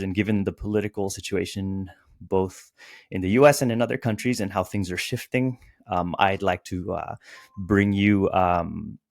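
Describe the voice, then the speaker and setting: Low gravelly man, podcast host. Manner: dramatic pauses in intro, hesitant with many fillers when chatting. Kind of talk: scripted narration then casual interview. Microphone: video call.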